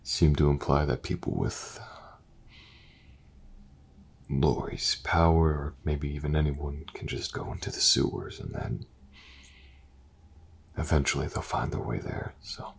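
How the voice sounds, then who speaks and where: A man performs a brooding male detective nursing a grudge. His voice is extremely low and gravelly, often sinking into a halting, near-whispered mutter. It is an intimate audio drama with faint noise behind him. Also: level tone. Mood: weary, subdued. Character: brooding, vengeful, grief-stricken male detective. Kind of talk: intimate audio roleplay acting.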